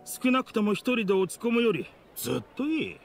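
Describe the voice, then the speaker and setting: A man playing an easygoing, loyal gang henchman in an anime game, with rough, frank, casual speech. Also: very high voice, sing-song, brisk, heavily acted. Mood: amiable. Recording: clear background music behind voice.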